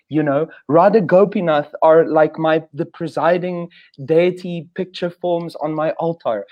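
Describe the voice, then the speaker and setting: A man candidly sharing his struggles in a devotional discussion. He often trails off softly. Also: high voice, lo-fi sound. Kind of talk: earnest discussion.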